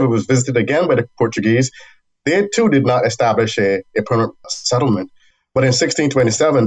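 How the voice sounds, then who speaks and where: A man guesting on an interview, speaking at a middle pitch. He tells his story in a thoughtful, measured way and sometimes restarts a phrase. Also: low-quality recording. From Barbados. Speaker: university dean, guest.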